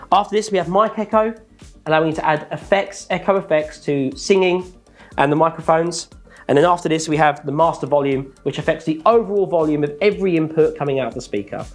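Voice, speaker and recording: A man, sounding, calmly walking through product features in a scripted, methodical presentation. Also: fairly high pitch. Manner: measured, methodical listing of features.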